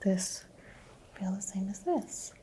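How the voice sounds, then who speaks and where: A woman whispering gently as a doctor in an ASMR medical exam roleplay, asking soft questions. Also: medium pitch, expressive, slow pace, rough recording.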